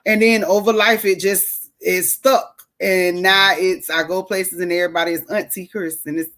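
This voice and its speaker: A woman's voice: on the low side, steady tone, normal speed, fairly bright tone. Woman, blunt, plainspoken, storytelling, video call. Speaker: podcast guest. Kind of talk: casual storytelling.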